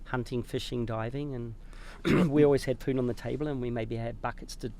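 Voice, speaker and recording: Gravelly New Zealand man, rambling chat. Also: mid-range voice, fairly even intonation, brisk, dark tone. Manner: rambling, restarts mid-word. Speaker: podcast guest. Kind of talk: casual chat. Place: inside a parked RV.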